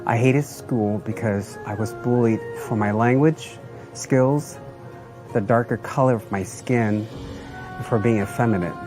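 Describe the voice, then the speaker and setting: A man's voice: mid-range voice, a little slow. A man giving an earnest personal testimonial, telling his story plainly in short phrases. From Southeast Asia and the United States. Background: music.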